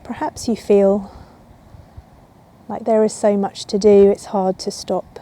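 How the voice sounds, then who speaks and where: A woman yoga teacher guiding a relaxation in a soothing, unhurried way with long pauses. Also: medium pitch, level tone, dark tone. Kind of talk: guided yoga nidra relaxation. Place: outdoors in a garden. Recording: outdoor ambience with birdsong. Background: birds and garden ambience.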